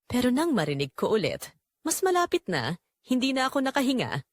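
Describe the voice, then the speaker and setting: Dramatic woman narrator, very lively intonation. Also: a little high, brisk, no room sound, clean studio sound. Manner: suspenseful, emotive. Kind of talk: dramatic first-person scripted narration.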